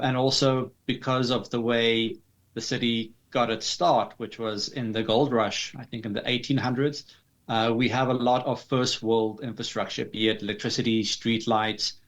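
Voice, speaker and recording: A man with a South African accent answering interview questions in a measured, explanatory way with formal wording. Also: mid-range voice, level tone, a bit bright. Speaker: interview guest, digital artist.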